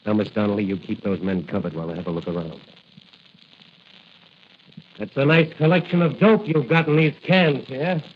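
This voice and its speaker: A man's voice: mid-range voice, steady tone, moderate pace, pauses often, natural voice. Righteous crime-fighting hero, male actor, American, commanding radio-serial delivery, muffled recording with heavy noise. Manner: declamatory, commanding tough talk. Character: heroic masked crime fighter, bold, righteous young man. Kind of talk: 1940s radio adventure serial acting. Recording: dull, noisy old radio recording.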